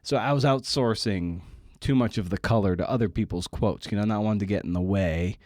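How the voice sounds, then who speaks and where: Man, podcast host, low voice, informal monologue, candid and self-deprecating, dry close sound. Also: brisk, darkish tone, no echo.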